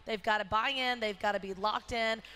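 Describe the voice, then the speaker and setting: Upbeat woman coach, bright voice. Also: on the high side, moderate pace. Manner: energetic, emphatic, many fillers and restarts. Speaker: head basketball coach. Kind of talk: postgame interview. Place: courtside in an indoor arena. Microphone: headset mic.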